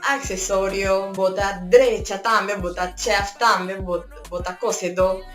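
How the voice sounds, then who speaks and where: A woman from Curaçao with a Papiamento accent, explaining ways to earn from home in a chatty, casual vlog that mixes languages. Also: medium pitch. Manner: chatty, switching between languages. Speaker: YouTuber. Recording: echoey room with faint music.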